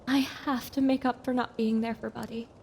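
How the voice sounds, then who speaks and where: A woman's voice: a little high, little pitch movement, brisk, vocal fry, slight background noise. A woman voicing an anxious, caring young pony mare, earnest and hard on herself, in a wasteland audio drama. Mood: troubled, self-reproaching.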